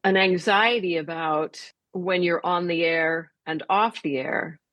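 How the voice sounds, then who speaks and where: A woman with a fairly low voice speaks as a guest in a conversational radio interview. She explains things through vivid, detailed examples. The sound is close and dry, with no room around it. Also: slow, a bit bright, no echo. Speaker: interview guest, theatre artistic director.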